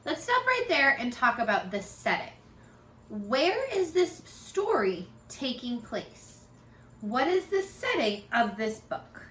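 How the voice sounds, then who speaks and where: Bright, high-voiced woman teaching young children. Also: slow. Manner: expressive, encouraging, addressing young children. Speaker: elementary school teacher. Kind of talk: children's read-aloud lesson with questions. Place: living room at home. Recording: roomy, echoey home video.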